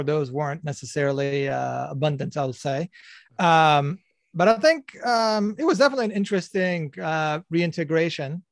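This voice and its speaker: Gravelly-voiced man, hesitant and reflective. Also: a little high, dry recording. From United States and Algeria. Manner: hesitant, full of ums and uhs, reflective. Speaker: success coach guest. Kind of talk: conversational interview.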